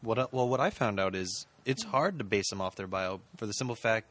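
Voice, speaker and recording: A man's voice: fairly low pitch, a little fast, fairly bright tone. A man co-hosting a podcast in casual chat, explaining things at length. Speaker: podcast co-host.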